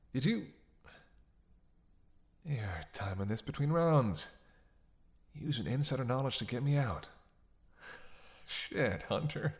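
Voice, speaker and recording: A man's voice: on the low side, vocal fry, slightly rough, no room sound, exaggerated voice. A man playing a witty, flirtatious, weakened male vampire in an intimate audio roleplay, with playful, sardonic delivery. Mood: hushed, wry. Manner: playful, sardonic, murmuring asides and sighs.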